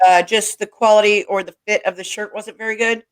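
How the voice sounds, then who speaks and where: A woman's voice: mid-range voice, fairly even intonation, a little fast. A woman hosting a casual interview, chatty and enthusiastic, often repeating and restarting her points. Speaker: interview host. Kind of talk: casual video call interview.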